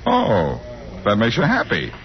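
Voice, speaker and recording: An actor playing a wry, cool-headed amateur detective in a 1940s radio drama, on a muffled, noisy old recording. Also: male voice, medium pitch, huge pitch swings, a little slow. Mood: teasing banter. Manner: wry, sardonic.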